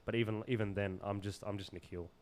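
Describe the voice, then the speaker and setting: A man from Zimbabwe with an Australian accent, talking earnestly and haltingly in casual podcast conversation, often repeating words as he searches for phrasing. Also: lowish voice, steady tone, a little mellow. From Zimbabwe, moved to Australia as a child. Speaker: podcast guest.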